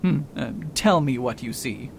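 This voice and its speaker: A man's voice: expressive, unhurried, creaky. A man playing a pompous, overconfident learned doctor in a radio mystery, incredulous and easily baffled. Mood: puzzled. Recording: faint rumbling background noise.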